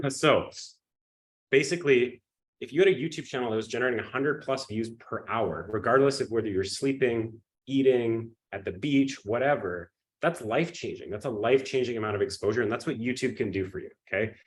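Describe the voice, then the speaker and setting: Sick man pitching a live webinar. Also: fairly quick, rough recording. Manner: energetic pitch, often checks in with "okay?" and "right?". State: sick, sniffly and coughing. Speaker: webinar presenter.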